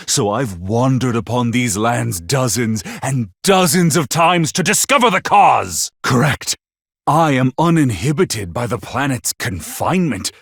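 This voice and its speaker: An actor playing a polite but scheming, secretly crazed video game villain, with formal, articulate, theatrical phrasing. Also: male voice, medium pitch, gravelly, a bit bright, slightly rough, dry recording, clean studio sound, heavily acted. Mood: unhinged, vengeful.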